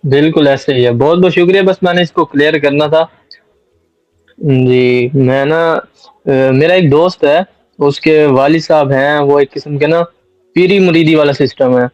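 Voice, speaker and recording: A man's voice: a little high, normal speed, darkish tone, dry recording. A man politely and deferentially putting informal questions, recorded in dull lo-fi sound. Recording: dull lo-fi close dry sound.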